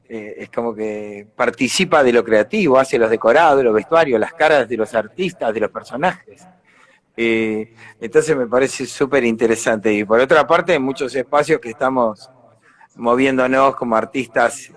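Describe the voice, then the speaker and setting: An Argentine man, an actor being interviewed over a video call, explaining earnestly in a conversational way with pauses and restarts. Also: medium pitch.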